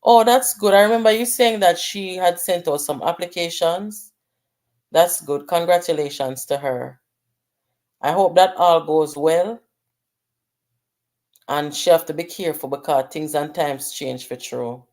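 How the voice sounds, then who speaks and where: A middle-aged woman with a Jamaican accent hosts a casual livestream and talks straight to her viewers. Her voice sits very low for a woman, and she moves easily between patois and English as she reads out comments. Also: unhurried, darkish tone. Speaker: livestream host.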